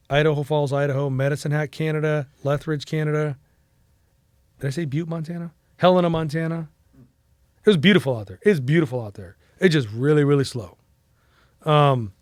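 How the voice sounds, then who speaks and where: A man's voice: a little high, clear, resonant tone, no echo, studio quality. A Mexican-American man in his forties, telling a long casual story as a podcast guest, rambling with fillers and restarts. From United States.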